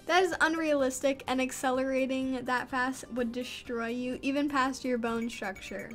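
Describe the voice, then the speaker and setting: A woman's voice: sits high, steady tone, moderate pace, faint music behind, natural voice. A woman voicing a stern, prickly studious teenage girl who scolds curtly. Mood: scornful. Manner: curt, exasperated scolding.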